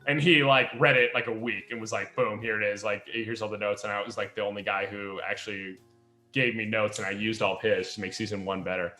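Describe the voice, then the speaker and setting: A young adult man, a podcast host and writer, chatting casually in an animated, rambling, self-deprecating way. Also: on the low side, brisk, echoey room, soft background music. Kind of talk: casual chat.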